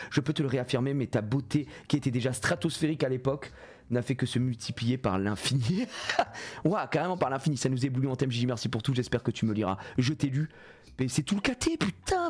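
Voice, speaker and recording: A man's voice: medium pitch, breathy edge. A man in his thirties with a cold, streaming a game live and joking loosely with chat, often swearing. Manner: animated, jokey, swears a lot, reads chat aloud. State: congested with a cold. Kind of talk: live gameplay stream chatting with viewers.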